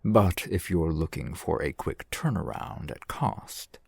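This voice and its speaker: A man playing a suave, shrewd fantasy shopkeeper in an audio roleplay, speaking in measured, formal, wry phrases with a gravelly, husky voice, close to the mic. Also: low-pitched, animated, a little mellow, dry recording, heavily acted. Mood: sly, businesslike. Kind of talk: fantasy ASMR roleplay acting. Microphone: close microphone, dry sound.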